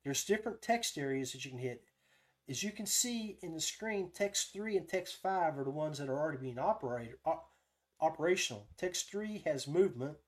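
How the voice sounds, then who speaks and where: Higher-pitched man giving a casual tutorial. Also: animated, normal speed. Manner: thinks aloud while demonstrating step by step. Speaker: podcast host giving a software tutorial. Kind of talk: casual live screen-share tutorial.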